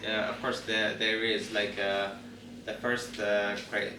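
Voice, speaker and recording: A man in his late twenties with an Ethiopian accent, introducing himself informally as a student, with many halting pauses. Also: fairly low pitch, level tone. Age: late 20s. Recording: distant, echoey room with loud noise.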